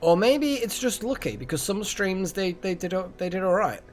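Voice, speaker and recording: Higher-voiced man streaming a game. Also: animated, normal speed, soft background music. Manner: rambling, thinks aloud. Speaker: game livestream host. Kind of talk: casual live gaming chat.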